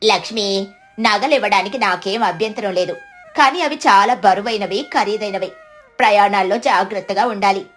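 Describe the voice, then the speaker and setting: A woman voicing a kindly, cautious older village lady in a measured storybook manner. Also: medium pitch, fairly bright tone, faint music behind, voice pushed down, close to an everyday voice.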